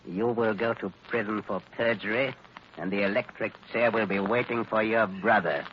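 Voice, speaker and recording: An actor playing a cold crooked lawyer and crime boss, smooth-talking and threatening, in 1940s radio style. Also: male voice, on the low side, fairly even intonation, normal speed, natural voice. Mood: calm threat. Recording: muffled, hissy old radio recording.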